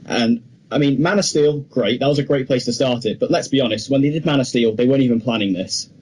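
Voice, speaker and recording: A man's voice: medium pitch, fast talker, darkish tone, poor audio. A man co-hosting a podcast, giving his opinions on films in casual, informal conversation. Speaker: podcast co-host. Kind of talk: casual film discussion.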